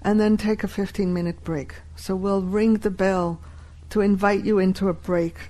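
A woman whose first language is Hebrew, teaching a live workshop and deliberately repeating key phrases. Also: mid-range voice, fairly even intonation, warm tone, low-quality recording.

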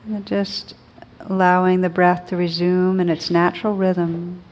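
Woman, meditation teacher, slightly low pitch, very slow pace, gentle soothing delivery, long pauses. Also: level tone, lo-fi sound. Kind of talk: guided meditation.

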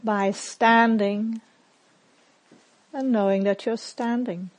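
A woman meditation teacher leading a guided meditation in short, measured phrases with long pauses. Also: a little high, steady tone, poor audio.

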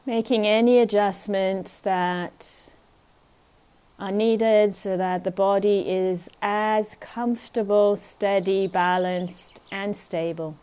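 A woman meditation teacher giving a gentle, unhurried talk at a retreat, often checking in with her listeners. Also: medium pitch, fairly even intonation, clean tone.

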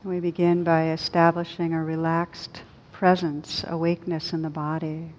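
A woman meditation teacher leading a slow guided meditation in a gentle, soothing, unhurried manner with long pauses. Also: flat delivery. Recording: dull lo-fi recording with rumbling background noise.

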